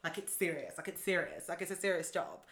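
Fast-talking young woman. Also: lowish voice, fairly even intonation, fairly bright tone. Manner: quick, emphatic, confident, slangy. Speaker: podcast co-host. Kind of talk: casual chat.

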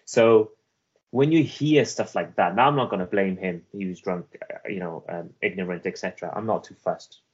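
A man from Afghanistan with a Welsh accent, a guest in an interview telling his story in an earnest, halting way with many restarts. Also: low voice, husky, lo-fi sound. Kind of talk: reflective personal storytelling.

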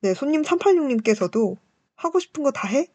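A woman's voice: high voice, very animated, brisk, darkish tone, dry recording, dull audio. A woman in her twenties hosting a show, talking reflectively and politely in a candid, self-deprecating way as she shares personal thoughts. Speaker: radio-style show host and university student. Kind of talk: personal reflective talk, reading listener messages.

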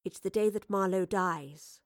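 Woman doing an earnest time traveling lady professor, dramatic and urgent, halting, close dry audio drama sound. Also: medium pitch, dry recording, natural voice. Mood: grave realisation. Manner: intense, dramatic, halting phrases.

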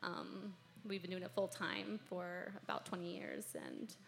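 A shy, soft-spoken woman in a family band, speaking casually and nervously. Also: fairly low pitch, steady tone, measured pace, gravelly, slight background noise. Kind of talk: casual panel talk.

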